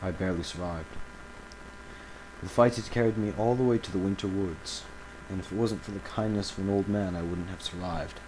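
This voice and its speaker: A man playing an embattled villain recording a grave confessional audio log, speaking haltingly and solemnly with dramatic pauses. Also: deep voice, fairly even intonation, noisy background, lightly acted. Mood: weary, reflective.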